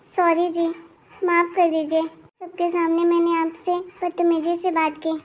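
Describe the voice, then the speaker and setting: A woman voicing a hot-tempered, demanding young married daughter in an animated family drama, with a sharp-tongued way of talking. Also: very high voice, unhurried. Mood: apologetic.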